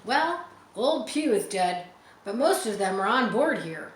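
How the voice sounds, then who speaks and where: A woman's voice: on the high side, big pitch swings, measured pace. A woman voicing a scheming, ruthless male pirate ringleader for a storybook, in a confiding, conspiratorial manner. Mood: chummy, confiding. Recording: echoey room with faint background noise.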